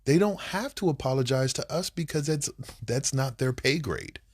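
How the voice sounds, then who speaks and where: A man's voice: medium pitch, animated, normal speed, husky. A man co-hosting a couples podcast, congested and nasal from a cold, talking in a blunt, emphatic, rambling way. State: congested, under the weather. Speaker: podcast co-host. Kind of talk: casual couples podcast chat.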